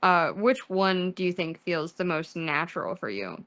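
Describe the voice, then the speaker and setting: A woman's voice: lowish voice, big pitch swings, measured pace, a bit bright. A woman hosting a podcast, asking questions in a casual, playful way, teasing her guest and laughing often. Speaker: podcast host. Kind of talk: casual interview chat.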